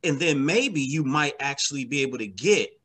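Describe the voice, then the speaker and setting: A man's voice: medium pitch, expressive, normal speed, fairly bright tone, slightly rough. A man speaking as an interview guest in a casual, reflective way, emphatically repeating phrases to build his points. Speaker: interview guest, comic book writer.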